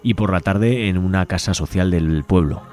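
Low-voiced Spanish man hosting radio. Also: moderate pace, over loud music. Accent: Spanish from Spain. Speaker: radio show host. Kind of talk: reading event listings on a community radio show.

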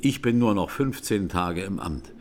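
A man's low voice a grave clergyman on the witness stand in a radio play. He recounts things solemnly and deliberately, with audible ambience behind him. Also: expressive, a little hiss or hum. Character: grave Armenian clergyman testifying as a court witness. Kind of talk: radio drama courtroom testimony.

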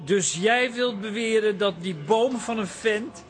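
A man's voice: high-pitched, unhurried, over music. An actor playing a sceptical, long-suffering man, dismissive and complaining, in a radio comedy. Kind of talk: Dutch radio comedy drama acting.

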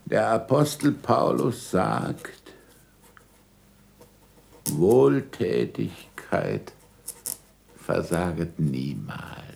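An actor playing an old, ailing captive scientist, slyly submissive, dictating haltingly with deliberate pauses in a German radio play. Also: male voice, low-pitched, sing-song, creaky, darkish tone, slight background noise, exaggerated voice. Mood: dry, sly. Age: older.

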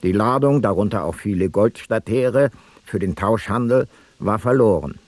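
A man playing an ancient Greek seafarer, reciting a ship's logbook in a solemn, measured way for a radio play. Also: on the low side, animated, a little mellow, no room sound. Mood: grave, solemn.

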